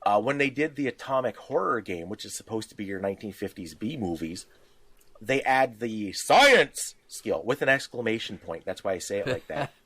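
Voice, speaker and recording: A man's voice: lowish voice, expressive, normal speed, fairly bright tone, husky. A man in casual podcast discussion about tabletop games, explaining things with examples and little acted-out asides. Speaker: podcast co-host.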